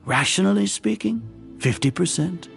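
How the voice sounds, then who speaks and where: A man voicing a haughty, calculating older scholar-official in a video game, speaking in a gravelly, pompous, formal and condescending way. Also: high-pitched, expressive, normal speed, faint music behind, exaggerated voice. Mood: accusing. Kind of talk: video game villain acting.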